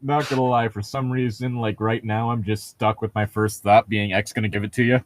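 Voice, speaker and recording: A man's voice: on the low side, little pitch movement, brisk. A man bantering casually as he reads a novel aloud, swearing freely and heckling the text. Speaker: co-host of a comedic read-aloud show.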